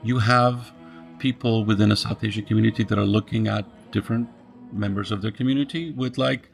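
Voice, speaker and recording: A man's voice: fairly low pitch, steady tone, fairly quick, gravelly, faint music behind. A middle-aged man from Poland, interviewing in a thoughtful, searching way and often restarting his phrases. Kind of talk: reflective conversation.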